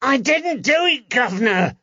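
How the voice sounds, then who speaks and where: A man's voice: extremely high pitch, very animated, a little slow, sharp tone, no echo, highs cut off, pitched above the performer's own voice, heavily acted. A man voicing a snivelling, indignant Cockney moneylender in an audiobook, whining and pleading defensively. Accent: London Cockney. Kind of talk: audiobook character acting.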